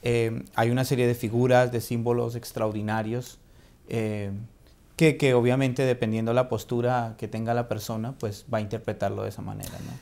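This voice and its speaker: A man's voice: medium pitch, level tone, normal speed, gravelly, a little mellow. A male panelist speaking hesitantly and modestly, with frequent fillers.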